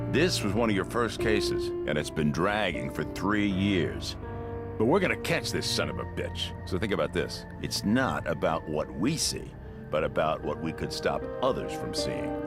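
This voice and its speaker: A man voicing a gruff, middle-aged, world-weary veteran police agent in a video game, talking in a folksy, teasing way. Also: low-pitched. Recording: loud music behind the voice.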